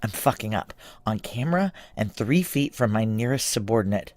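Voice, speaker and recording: A woman's voice: extremely low pitch, animated, normal speed, a little mellow, dry recording. An actress playing a tough, weary female military chief in a noir audio drama, blunt, clipped and commanding as she acts and narrates. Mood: weary, wry. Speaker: narrator and lead actor.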